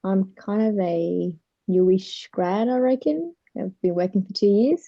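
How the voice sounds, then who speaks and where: A woman's voice: mid-range voice, measured pace, vocal fry, dull audio. A woman, introducing herself casually to a group. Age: 20s. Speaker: course participant, new dentist. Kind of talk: casual chat self-introduction.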